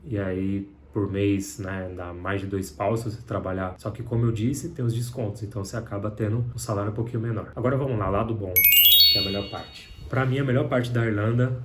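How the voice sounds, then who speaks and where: A young Brazilian man chats to camera in a loose, candid vlog manner, often tagging his sentences with little fillers. His voice sits fairly low for a man, and a faint hum of room ambience runs underneath. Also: fairly even intonation, brisk. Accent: Brazilian Portuguese. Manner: conversational, frequent filler tags, candid. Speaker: vlogger. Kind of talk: casual talk to camera.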